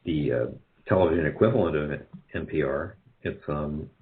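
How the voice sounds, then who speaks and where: Low-pitched man, dull lo-fi interview audio. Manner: halting, rambling, self-correcting. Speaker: guest, radio drama producer. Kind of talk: casual interview talk.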